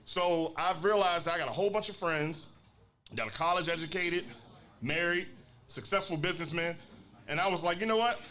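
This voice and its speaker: A man in his forties hosts a casual live podcast in front of an audience. His voice is bright and a bit high for a man. He jokes and keeps things upbeat. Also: moderate pace. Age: 40s. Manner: upbeat, joking, thanks everyone. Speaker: podcast host. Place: brewery taproom with audience.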